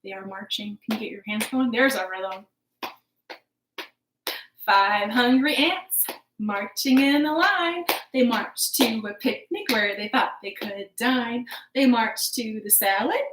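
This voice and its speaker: Female children's storytime host: high-pitched, slow delivery, playful and encouraging, in an echoing room. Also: noisy background. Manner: animated, encouraging, playful exaggeration for small children. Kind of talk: children's storytime, rhymes and counting. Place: living room at home. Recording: roomy, echoing home recording.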